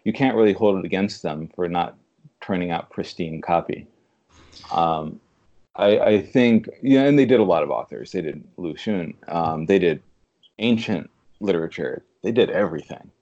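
Male interview guest, very low, dark voice, reflective and halting, on slightly dull audio. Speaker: podcast guest, literary translator. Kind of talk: conversational interview.

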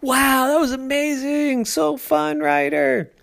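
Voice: mid-range voice, big pitch swings, moderate pace, vocal fry, pitched above the performer's own voice. A parent doing a bossy, cheerful big sister. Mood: thrilled. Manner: coaxing, upbeat, insistent.

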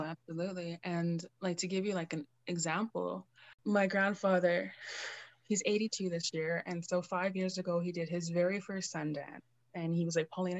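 A woman's voice: lowish voice, dry recording. A young woman from Canada, a Cree educator, speaking in an earnest, soothing way and telling personal stories with casual fillers in an interview. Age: young adult. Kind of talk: reflective conversational interview.

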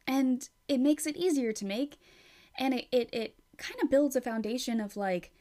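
A woman's voice: high voice, moderate pace, mellow. A woman hosting her own podcast in a casual, rambling way, chatty and frank, with some swearing. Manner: rambling, with many fillers and restarts. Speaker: podcast host. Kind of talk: casual solo podcast chat.

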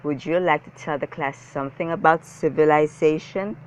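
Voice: mid-range voice, steady tone, moderate pace, slight background noise, natural voice. Kindly storybook schoolmistress played by a narrator: sweet, patient, encouraging, on a slightly dull recording.

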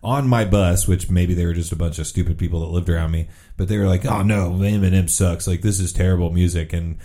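Podcast co-host, man in his thirties, deep and dark-toned, loose and filler-heavy. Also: fairly even intonation, fairly quick. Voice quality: very low voice. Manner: laid-back, rambling with many "like" fillers. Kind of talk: casual chat.

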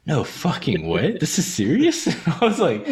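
A man's voice: a little high, wildly swooping pitch. A man hosting a podcast, chatting casually and enthusiastically, swearing often and stumbling over repeated words as he gushes. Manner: profane, gushing, halting repetitions. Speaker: podcast host.

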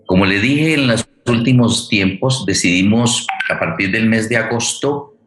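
Deep-voiced man hosting in a roomy space. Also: a bit bright, slight background noise. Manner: formal, welcoming, halting with repeated phrases. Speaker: host of a radio theatre programme and playwright. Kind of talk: spoken introduction to a live online forum. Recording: roomy, echoing sound.

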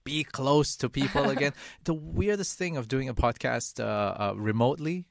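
A man hosting a podcast, asking questions in a relaxed, easygoing way with frequent uhs. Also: mid-range voice, normal speed, vocal fry, no echo, clean studio sound. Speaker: podcast host. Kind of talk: casual interview chat.

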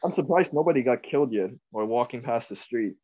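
A young man from Taiwan, a podcast guest chatting casually, laid-back, laughing often and trailing off quietly. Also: mid-range voice, animated, brisk, lo-fi sound. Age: young adult. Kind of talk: casual chat.

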